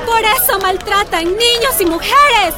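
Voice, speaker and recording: A woman's voice: very high voice, a little slow, over music, a crowd in the background. An actress playing an eager, urgent townswoman in a radio drama. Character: excited townswoman in a crowd.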